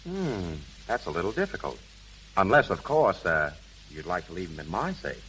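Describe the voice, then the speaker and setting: A guarded crook boss in an old radio drama. His voice is very low yet moves widely in pitch, and his lines are clipped and evasive. A faint hum and hiss sits on the 1930s recording. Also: big pitch swings, moderate pace, a bit bright, rough recording. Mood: polite. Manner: terse, evasive, hesitant. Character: guarded, terse male crook boss posing as gentleman. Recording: hissy, humming 1930s radio broadcast.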